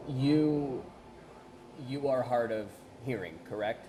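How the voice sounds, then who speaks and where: A man's voice: medium pitch, takes it slowly. A man interviewing a guest as a reporter, asking polite and slightly hesitant questions. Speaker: news reporter. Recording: noisy field recording.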